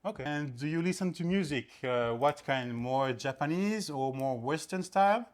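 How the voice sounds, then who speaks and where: A woman's voice: sits low, expressive, moderate pace, a little mellow. A woman interviewing a guest in a casual chat and keeping the talk going with a string of friendly questions.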